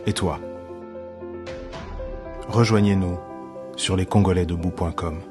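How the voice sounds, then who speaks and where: Deep-voiced man over loud music. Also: steady tone, takes it slowly. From DR Congo. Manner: declamatory and solemn. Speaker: campaign ad narrator. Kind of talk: political campaign voice-over.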